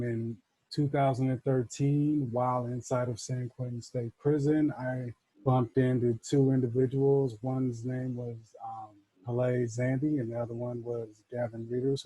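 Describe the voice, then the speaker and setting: Man, 40s, reentry coordinator, flat monotone delivery, dry close sound. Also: mid-range voice, dry recording, rough recording. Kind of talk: casual speech to an audience.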